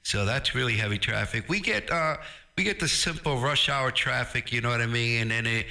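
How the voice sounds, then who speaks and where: Middle aged new guy chatting on ham radio, really sharp bright voice. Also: mid-range voice, fairly quick, vocal fry. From Brooklyn, New York. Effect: ham radio transmission. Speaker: amateur radio operator. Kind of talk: casual radio chat.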